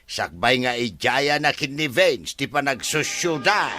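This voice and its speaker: A man's voice: medium pitch, big pitch swings, unhurried, pushed and bright, soft background music. A man playing a cautious, trusted aide to a madam in an Ilocano radio drama, hesitantly giving advice. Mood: advising.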